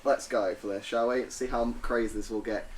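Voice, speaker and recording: A man's voice: mid-range voice, fairly even intonation, moderate pace, slight background noise. A man running a tabletop game as game master, narrating playfully and humming sound effects.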